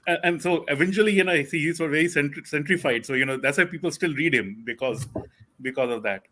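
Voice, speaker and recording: A man speaking as a guest in a casual panel discussion, animated and full of "you know". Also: on the high side, fast talker, a little hiss or hum.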